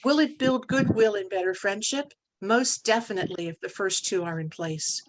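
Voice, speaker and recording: A woman presenting at a club training session in a measured, instructive manner, posing rhetorical questions. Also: mid-range voice, rough recording.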